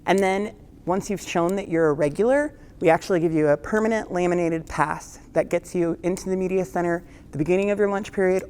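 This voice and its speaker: A woman's voice: low-pitched, a little mellow. A woman who is the school librarian, giving friendly but firm rules to students in a school announcement video.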